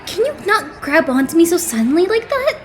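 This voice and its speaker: A performer voicing a flustered, excitable teenage idol boy in an anime fandub, stammering and exasperated. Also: very high voice, a little fast, soft background music.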